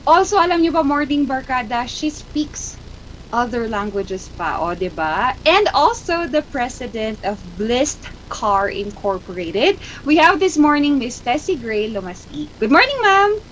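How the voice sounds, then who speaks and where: A woman's voice: high-pitched, sing-song, measured pace, a little hiss or hum, low-quality recording. A woman with a Filipino accent hosting a morning show, chatting playfully in mixed Filipino and English as she interviews a guest.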